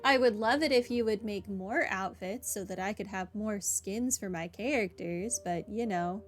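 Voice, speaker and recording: A woman's voice: medium pitch, normal speed. A woman giving casual commentary as she plays through a video game. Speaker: gaming streamer. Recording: game music behind the voice. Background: game music.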